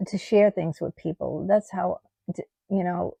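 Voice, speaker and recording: A middle-aged woman from the United States talking in a personal vlog, halting with many ums and restarts, her voice tired and starting to go. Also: on the low side, steady tone, vocal fry, warm tone, husky, no echo. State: losing her voice. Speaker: vlogger.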